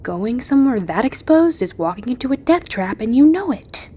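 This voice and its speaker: A woman's voice: fairly high pitch, sing-song, normal speed, mellow, husky. Tough, wounded fugitive, played by a woman, clipped and tense, in pain. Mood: urgent. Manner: tense, clipped, often whispering. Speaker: wounded survivor. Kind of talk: audio drama acting.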